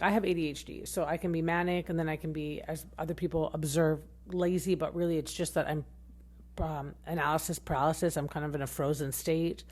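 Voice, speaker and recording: Expressive woman hosting a rambling recap. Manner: very expressive, voice swinging high and low; rambling, with many fillers and restarts. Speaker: podcast host. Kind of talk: casual reality TV recap.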